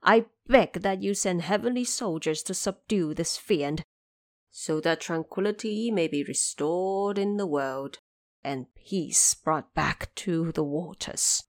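A woman's voice: lowish voice, dry recording, clean studio sound. A woman voicing an aggrieved old male dragon king, making a formal, humble plea in an audio drama. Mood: aggrieved pleading. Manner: formal, pleading, slow.

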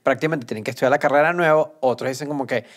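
A man's voice: medium pitch, very fast. A Venezuelan man with a Venezuelan accent, hosting a casual podcast chat and laughing easily. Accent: Venezuelan Spanish. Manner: relaxed, laughs often, reads out viewer comments. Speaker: podcast host.

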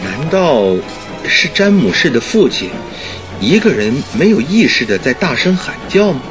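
A man's voice: on the high side, sing-song, normal speed, over music. A man in a Chinese radio drama playing a detective's earnest, puzzled companion with a hesitant, musing delivery.

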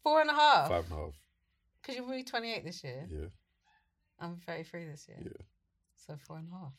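Woman in her 30s, fast talker, bright voice, teasing quick comebacks. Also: fairly low pitch, wildly swooping pitch. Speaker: podcast guest. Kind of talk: casual chat.

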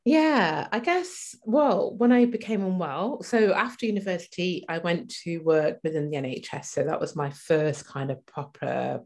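A woman in her forties shares a personal story in conversation. Her voice is quite low and often creaky. She speaks reflectively, stopping and restarting as she gathers her thoughts. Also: animated, moderate pace, few pauses, darkish tone. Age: 40s. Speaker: interview guest.

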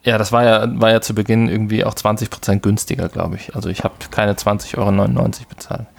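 A man co-hosting a podcast, relaxed and explaining things with light laughter. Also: on the low side, quick pace, vocal fry. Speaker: podcast co-host. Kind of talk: casual chat.

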